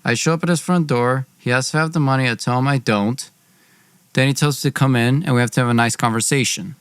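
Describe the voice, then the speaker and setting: A man's voice: medium pitch, fast talker, a bit bright, clear, resonant tone, no room sound. Man telling a dramatic personal story. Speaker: podcast host.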